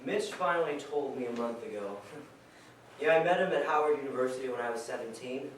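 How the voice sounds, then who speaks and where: A man's voice: medium pitch, fairly quick, close to an everyday voice. An actor playing an easygoing man nearing thirty, telling a personal story conversationally in a staged reading. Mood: casual, amused. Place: stage in a reverberant room, recorded from afar. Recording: echoey hall, far mic, faint noise.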